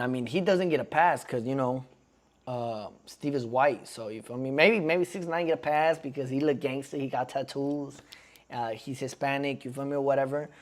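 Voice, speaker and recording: A man in his twenties rambling in slangy casual commentary as he reacts on stream, constantly checking in with his chat. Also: a little high. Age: 20s. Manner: slangy and rambling, keeps asking the chat "you feel me". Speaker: streamer reacting to a podcast clip.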